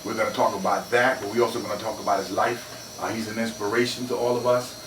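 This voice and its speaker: A man hosting a radio interview in a studio, relaxed, with many "uh" fillers, calling his guest brother. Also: on the low side, fast talker. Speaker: radio show host. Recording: roomy, distant mic with noise.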